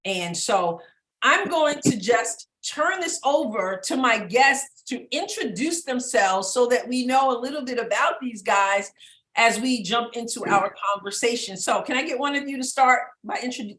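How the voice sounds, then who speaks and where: A woman from New York hosts a talk show, leading the chat in an upbeat, direct way. Her voice is bright and a little high. The room around her rings with echo. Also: expressive, normal speed. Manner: upbeat, direct, leads the conversation. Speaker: host.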